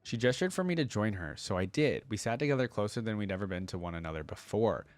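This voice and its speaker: Low-voiced man in a dry, close recording. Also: expressive, fast talker, no room sound. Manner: informal, conversational asides with slangy exclamations. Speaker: reaction video host. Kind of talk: casual reaction commentary mixed with reading stories aloud.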